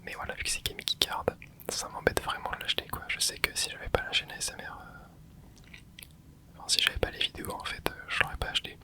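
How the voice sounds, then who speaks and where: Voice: fast talker, pushed and bright. A host whispering casual gameplay commentary, rambling and thinking aloud with many hesitations. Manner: casual, hesitant, frequent filler words. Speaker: gaming channel host.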